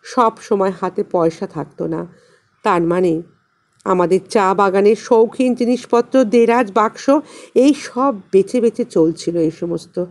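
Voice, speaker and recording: A woman narrating a literary story expressively, in a measured way with dramatic pauses. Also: medium pitch, a little mellow. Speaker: audiobook narrator and channel host.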